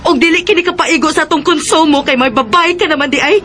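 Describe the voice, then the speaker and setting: Sharp, high-voiced wronged wife. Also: female voice, fast. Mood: hurt, accusing. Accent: Cebuano Filipino. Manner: plaintive, accusing. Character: hurt, reproachful poor wife and young mother. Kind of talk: Filipino radio drama acting. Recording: radio drama with music underneath.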